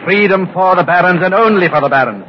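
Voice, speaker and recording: A grandiloquent, mocking medieval scholar in an old radio play. His high voice declaims with theatrical rhetoric, on a muffled recording with faint noise. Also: lively intonation, fast talker. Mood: declaiming, prophetic. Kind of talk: 1950s radio drama acting. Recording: dull, noisy vintage radio recording.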